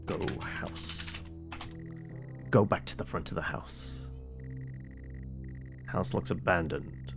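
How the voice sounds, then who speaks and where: A man playing a text adventure game, reading it aloud dramatically with muttered commands and halting, casual asides. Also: low voice, gravelly, music in the background.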